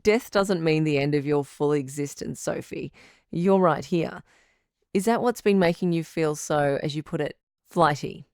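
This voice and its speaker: A reassuring call-in show doctor, deep voice, frank but supportive, very dry close sound. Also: female voice, unhurried, darkish tone, smooth, no room sound. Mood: gentle, probing. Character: supportive, frank female doctor hosting radio relationship advice show. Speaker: radio call-in host. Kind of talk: audio drama acting as late-night radio advice.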